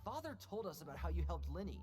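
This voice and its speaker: A woman voicing a quietly confident young female assassin in a video game, with a measured, dry manner. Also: on the low side, very animated. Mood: warm. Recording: game audio with music behind. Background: game music.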